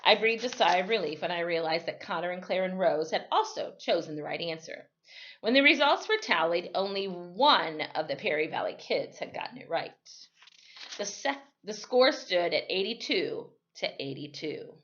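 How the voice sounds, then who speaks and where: A woman's voice: lowish voice, animated, moderate pace, a bit bright, dull audio, slight background noise. A woman teacher reading a story aloud expressively, with dramatic emphasis and the odd corrected slip. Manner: reads in the first person with dramatic emphasis, correcting slips.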